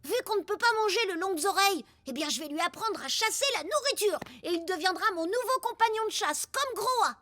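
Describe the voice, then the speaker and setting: Very high-pitched brash little caveboy. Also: female voice, animated, brisk, hardly any pauses, bright, forceful tone, heavily acted. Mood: earnest. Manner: boisterous, exclaiming in mock-caveman oaths. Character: brash, food-loving prehistoric caveboy in a modern family. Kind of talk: French cartoon dubbing.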